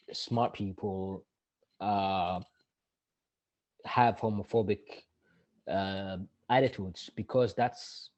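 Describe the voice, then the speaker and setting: A man, a guest answering interview questions in a halting way and often restarting mid-sentence. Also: fairly low pitch, darkish tone, no room sound. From Iraq. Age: 30s. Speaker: guest, activist. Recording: dull, lo-fi dry recording.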